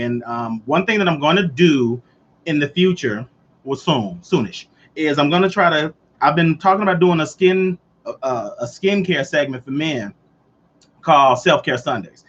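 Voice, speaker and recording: A man's voice: medium pitch, a bit bright, slight background noise. A man in his late thirties hosting a casual live chat, talking loosely and answering viewers as he goes. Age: 30s. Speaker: livestream host. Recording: dull lo-fi livestream audio.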